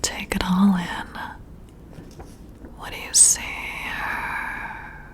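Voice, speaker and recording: A woman's voice: fairly low pitch, flat delivery. Woman playing a whispering, flirty female boss. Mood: flirty, intrigued. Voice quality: breathy whisper. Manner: slow, flirty, admiring, softly hesitant. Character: flirty, intrigued female boss giving a personality test. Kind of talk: ASMR whispered roleplay. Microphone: close-up ASMR mic.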